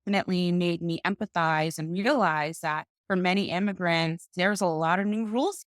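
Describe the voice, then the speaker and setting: A woman speaks in a lowish voice. She tells her story with confidence and slows down to stress key phrases. The sound is close and dry. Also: steady tone, no echo. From Boston, US. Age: 30s. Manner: confident, deliberate, slows for emphasis. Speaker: podcast guest, financial coach. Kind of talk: interview storytelling.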